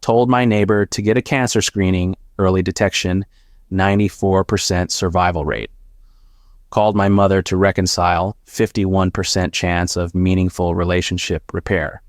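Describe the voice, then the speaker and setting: A man acting a deep-voiced, haunted ex-analyst. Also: fairly even intonation, normal speed, dry recording, studio quality. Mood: weary, bitter. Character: haunted, sardonic former risk analyst cursed to see probabilities. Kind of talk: first-person dramatic audio fiction narration.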